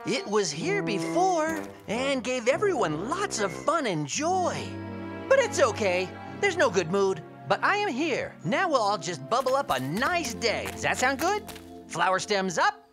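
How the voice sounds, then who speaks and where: A woman's voice: fairly high pitch, sing-song. A woman voicing an eager, cheerful little boy dragon in a children's cartoon. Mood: upbeat, encouraging. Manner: exclamatory, playful, drawn-out delivery. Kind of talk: animated children's cartoon dubbing. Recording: loud music under the voice. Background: music.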